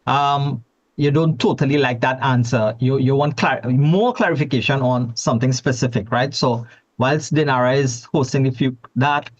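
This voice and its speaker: A man's voice: mid-range voice, normal speed. A man with a Trinidadian accent presenting at an online forum, chatty and repeating words as he restarts. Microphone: video call. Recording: dull lo-fi video call audio.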